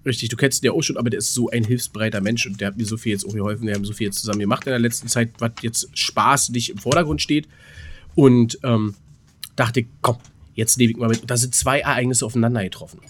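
A man's voice: medium pitch, steady tone, fast, slight background noise. A man chatting casually as a podcast co-host, telling an anecdote in a rambling way and acting out remembered dialogue.

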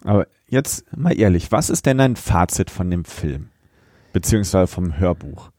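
A male podcast co-host with a low-pitched voice chats casually in a review discussion. The recording is dry, with hardly any room sound. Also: animated, moderate pace, warm tone, no room sound.

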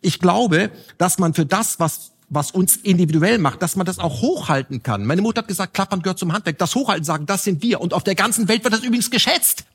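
A man speaking as a talk show guest, arguing his opinions emphatically with rhetorical questions and halting self-corrections. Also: high voice, lively intonation, fairly bright tone, slightly rough. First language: German. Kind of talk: opinionated panel discussion. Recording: reverberant hall.

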